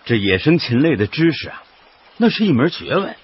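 An actor playing a genial, knowledgeable wildlife conservation official in a radio drama, explaining things in an expressive way. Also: male voice, a little high, brisk, a little hiss or hum. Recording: dull radio drama with clear music behind.

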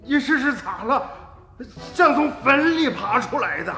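An actor playing an earthy, worried old farmer in a radio drama, telling his story in a folksy, expressive way. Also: extremely high pitch, unhurried, faint music behind, heavily acted. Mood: worried, insistent. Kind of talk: radio drama narration and acting.

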